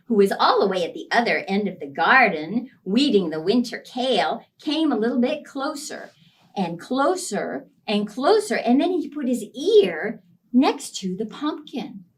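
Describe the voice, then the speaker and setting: A woman tells a children's story as a librarian would, playful and expressive. Her voice is bright and fairly high with lots of swing, picked up from a little distance.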